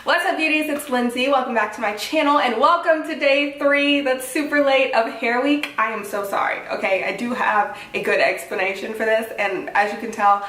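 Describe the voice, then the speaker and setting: A woman's voice: fairly high pitch, steady tone, moderate pace, hardly any pauses, fairly bright tone, soft background music. A woman with a stuffy, congested cold, chatting casually and upbeat as she reviews a wig for her vlog, with lots of "like" and "um". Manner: upbeat and apologetic, filler-heavy with lots of "like" and "um". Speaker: beauty vlogger reviewing a wig. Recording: roomy echo, voice from a distance.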